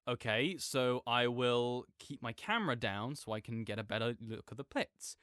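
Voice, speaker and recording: A man playing an opinionated gamer roommate in an audio roleplay, ranting casually and haltingly, often correcting himself with apologetic asides. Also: medium pitch, a bit bright, no echo, studio quality, natural voice. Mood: explaining, griping. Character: opinionated gamer roommate ranting good-naturedly about games. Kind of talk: rambling casual rant, sleep-aid audio roleplay.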